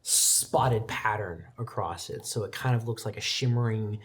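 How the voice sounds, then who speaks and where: A man narrating a tabletop roleplaying game as game master, setting scenes in a measured way and dropping soft for quiet details. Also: lowish voice.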